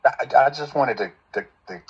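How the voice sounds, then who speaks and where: A man giving feedback in a halting, emotional way as a session participant, on dull lo-fi call audio. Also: medium pitch, breathy edge.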